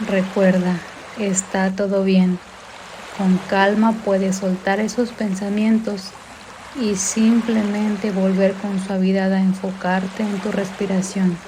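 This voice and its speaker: A woman narrating a guided mindfulness meditation in a calm, gentle, soothing way, giving unhurried instruction. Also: mid-range voice, slight background noise. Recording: clear water and sea ambience behind. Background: sea sounds and background music.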